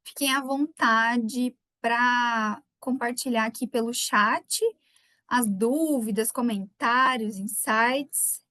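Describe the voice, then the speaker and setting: A woman from southern Brazil with a faint Gaúcho lilt speaks with a slightly high, friendly voice. She runs a live online talk in a casual, chatty way. The sound is dry and close, as from a computer microphone. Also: slow pace, dry recording. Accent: light Gaúcho Brazilian Portuguese. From Rio Grande do Sul, Brazil. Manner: chatty, warm greetings to viewers by name. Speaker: webinar speaker.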